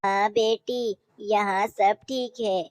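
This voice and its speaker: A woman voicing an older, concerned mother crow in a children's cartoon, with a measured manner. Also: mid-range voice, fairly even intonation, darkish tone, dry recording. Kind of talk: Hindi animated children's story dialogue.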